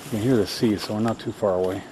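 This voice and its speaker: Low-voiced man vlogging outdoors over loud surf. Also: quick pace. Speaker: travel vlogger. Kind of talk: casual outdoor vlog commentary. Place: outdoors on a rocky coastal track. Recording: noisy outdoor audio, surf and wind-like ambience.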